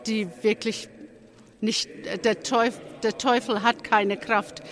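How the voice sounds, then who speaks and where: A speaker with a cold gives a testimony at a church service, slowly and with care. The delivery halts often, with phrases begun again, and then builds to fervent emphasis on key points. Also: medium pitch, fairly bright tone. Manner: halting, with frequent restarts and pauses. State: has a cold.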